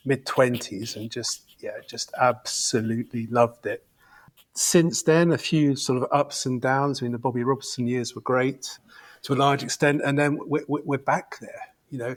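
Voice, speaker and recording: A man's voice: mid-range voice, steady tone, normal speed. A man in his fifties, a football fan invited as a podcast guest, chatting and reminiscing in a rambling, reflective way. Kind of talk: casual podcast chat.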